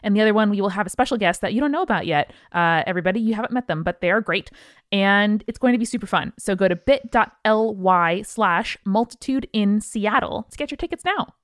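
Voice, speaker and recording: A woman speaking as a podcast co-host, in a casual, chatty way. Her voice sits at an average pitch for a woman and is recorded dry, with very little room sound. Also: lively intonation, brisk, no echo. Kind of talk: casual scripted-feeling podcast chat.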